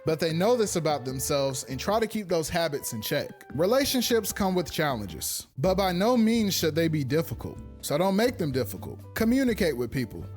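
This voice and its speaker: A man's voice: on the high side, sing-song, normal speed, few pauses. A man in his twenties delivering scripted explainer narration in a conversational, earnest, advice-giving way. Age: 20s. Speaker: online video essayist. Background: background music.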